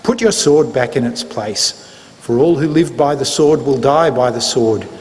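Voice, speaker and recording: A man reading the part of Jesus as a grave, resolute teacher in a solemn, measured dramatic scripture reading. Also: medium pitch, animated, darkish tone, natural voice. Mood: firm. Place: church. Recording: reverberant church hall, background noise.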